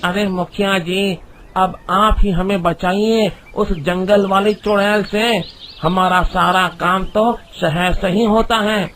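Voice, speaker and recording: A man's voice: high-pitched, fairly even intonation, slow pace, fairly bright tone, a little hiss or hum. A man playing an earnest, impatient village woodcutter in a dramatised story. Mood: pleading. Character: impatient village woodcutter, dismissive then pleading.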